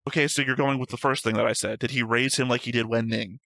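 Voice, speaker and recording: A man's voice: mid-range voice, fairly even intonation, quick pace, vocal fry, sharp tone, no echo. A man hosting a reaction show, reading notes aloud in casual chat and often correcting himself.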